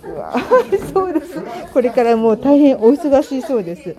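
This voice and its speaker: A woman's voice: high voice, big pitch swings, normal speed. A woman hosting a casual show, chatting effusively and politely in a rambling, unscripted way. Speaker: host of an audio app show; visual artist and designer. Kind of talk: casual unscripted chat with guests and announcements. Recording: crowd chatter behind, live on the spot.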